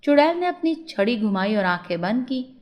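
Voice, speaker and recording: A woman's voice: on the high side, sing-song, moderate pace, lo-fi sound. A woman narrating a scripted children's moral story as a storyteller.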